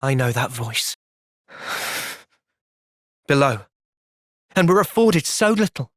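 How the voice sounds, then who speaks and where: A young English soldier hero for a fantasy video game cutscene. He is earnest and reflective, with a mid-range voice that moves expressively. The delivery is measured, and the sound is dry and clean. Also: medium pitch, sing-song, fairly bright tone, no room sound, heavily acted. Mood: quiet, somber.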